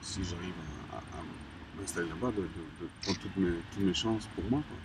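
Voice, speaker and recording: Young guy from colombia, low voice, stops and restarts a lot, mic kinda far off with noise behind. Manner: halting, many restarts and fillers. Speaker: graffiti artist, interviewee. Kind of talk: casual interview talk. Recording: distant mic with background noise.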